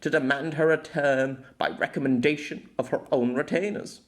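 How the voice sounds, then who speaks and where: A man's voice: fairly high pitch, steady tone, normal speed, natural voice. A man voicing a scowling, sardonic bowman in a fantasy audiobook, with a sneering, knowing manner.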